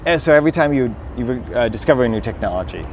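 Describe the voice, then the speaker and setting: A Danish-born man with an American accent tells stories eagerly, rambling and full of fillers. His voice sits at a middling pitch for a man. He was recorded outdoors at a busy pier, with traffic clearly audible behind him. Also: lively intonation, rapid-fire. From Denmark. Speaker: podcast guest. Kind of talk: casual conversation. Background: city traffic.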